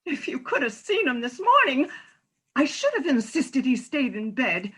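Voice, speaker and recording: A woman's voice: high-pitched, big pitch swings, moderate pace, a bit bright, husky, exaggerated voice. A woman playing an earnest, distressed unmarried mother, a nurse pleading her case in a comic stage farce reading. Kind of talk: comic farce readers theatre.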